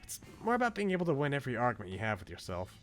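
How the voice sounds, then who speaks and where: A man's voice: medium pitch, wildly swooping pitch, rapid-fire. A man voicing a bossy, brash young female workout buddy from a visual novel, scolding and emphatic. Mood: earnest coaching. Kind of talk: visual novel character read-aloud. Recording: game music behind the voice.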